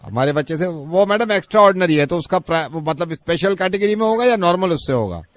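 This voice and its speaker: A man making comic radio prank calls, insistent and wordy, keeping a polite, deadpan manner. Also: high voice, quick pace, dry recording. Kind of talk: comic prank calls in assumed everyday characters.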